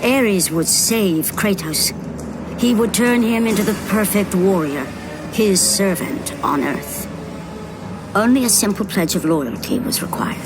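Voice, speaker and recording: A woman voices the omniscient storyteller of a mythic video game. She narrates in a mid-range voice, grave and theatrical, giving each phrase portent. Loud music runs behind the narration. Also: mid-range voice, lively intonation, a little slow, natural voice. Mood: ominous, intense.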